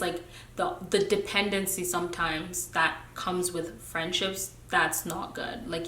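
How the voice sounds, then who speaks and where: A young woman vloggs a candid rant, moving from measured musing to emphatic, preachy bursts. Her voice sits in the middle of the range. The room around her is bare and echoey. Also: mid-range voice, fairly bright tone. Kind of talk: candid casual rant to camera.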